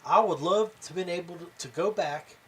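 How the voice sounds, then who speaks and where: A man hosting a podcast, talking casually and haltingly to his viewers, rambling along with pauses and repeats. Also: high voice, fairly bright tone, a little hiss or hum. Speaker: podcast host. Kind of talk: casual rambling talk to viewers about tournament fishing.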